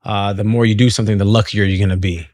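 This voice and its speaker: A man speaking in a relaxed, halting way as a singer being interviewed. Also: fairly low pitch, dry recording. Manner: relaxed, hesitant, laughs often. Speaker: guest singer. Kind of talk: conversational interview.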